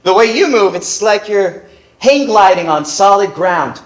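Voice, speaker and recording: A man's voice: sits high, expressive, unhurried, rough recording. An actor playing a cocky, tired young male fencer who talks in a teasing, flippant way, on a theater stage. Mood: probing. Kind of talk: stage play acting.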